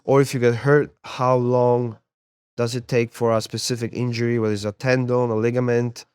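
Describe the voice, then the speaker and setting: Smooth-voiced Polish-born man, unhurried. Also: medium pitch, no room sound. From Poland. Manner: relaxed, repeats words while finding them. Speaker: guest, sports therapist and MMA coach. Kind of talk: casual interview talk.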